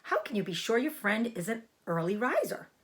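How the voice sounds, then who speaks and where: A woman's voice: on the high side, very animated, a little fast, fairly bright tone. A woman voicing a stern old sheep from a storybook, scolding in a matter-of-fact, reproving way. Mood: disgusted. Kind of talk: storybook character voice.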